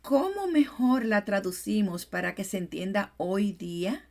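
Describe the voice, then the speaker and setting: A middle-aged woman with a Dominican accent co-hosting a Bible study program, lively and fond of practical examples. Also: mid-range voice, unhurried, gravelly. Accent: Dominican Spanish. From Dominican Republic. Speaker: co-host, literature specialist.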